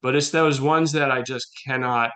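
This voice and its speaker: A middle-aged man speaks in a bright, forceful voice at an ordinary male pitch. He chats informally as an interview guest, an animated storyteller who slips into quoted dialogue. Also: moderate pace. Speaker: guest playwright. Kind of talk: casual conversational interview.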